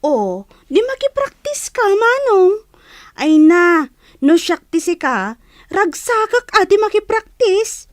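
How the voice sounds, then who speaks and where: A woman with an Ilocano accent playing a cheeky, excitable young schoolgirl in a radio drama. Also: very high-pitched, slow, dry recording, clean studio sound, full character voice. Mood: teasing, nagging.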